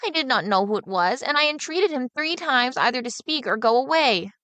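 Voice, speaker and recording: A woman's voice: sits high, lively intonation, brisk, fairly bright tone, dry recording, pitched above the performer's own voice. A woman playing a guileless young peasant boy. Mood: earnest. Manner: earnest, guileless. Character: naive fearless young male peasant boy.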